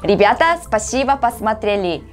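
A woman in her thirties from South Korea with a Korean accent, giving casual reaction commentary in halting, simple phrases of a second language. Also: on the high side, lively intonation. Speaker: reaction vlogger. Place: small room at home, indoors. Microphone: lapel mic. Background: film soundtrack music.

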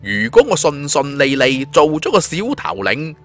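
A man's voice: mid-range voice, sing-song, normal speed, fairly bright tone, soft background music. Man playing a confident, shrewd young fantasy hero. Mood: cool, persuasive. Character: confident, shrewd young male cultivator recruiting bandit followers. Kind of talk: audiobook character voice.

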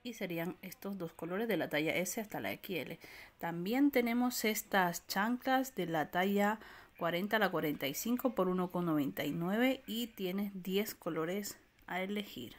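A woman from walking through a supermarket aisle, filming on a handheld phone and reading out prices and sizes in a steady listing way. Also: mid-range voice, moderate pace. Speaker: shopping vlogger. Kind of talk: walk-through product rundown. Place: indoor discount supermarket aisle. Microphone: handheld phone camera, filming while browsing.

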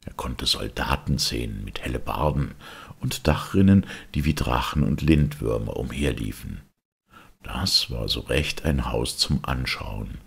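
A man reading a fairy tale as an audiobook, in an expressive storytelling manner. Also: rumbling low, vocal fry, breathy edge. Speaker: audiobook reader. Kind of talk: scripted fairy-tale narration.

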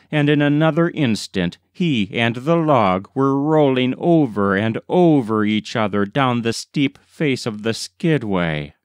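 A man reading a literary short story aloud as scripted narration, in a measured, deliberate storytelling manner. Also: medium pitch, smooth, no echo, clean studio sound. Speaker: audiobook reader.

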